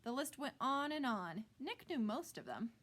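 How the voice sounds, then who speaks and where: A woman narrating a children's book like a teacher reading aloud, expressive and deliberately phrased. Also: high voice.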